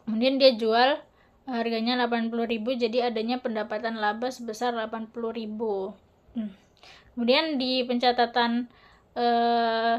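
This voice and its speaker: Halting young woman, higher voice. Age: young adult. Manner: halting, hesitant delivery. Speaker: university student presenting. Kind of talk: explanatory class presentation.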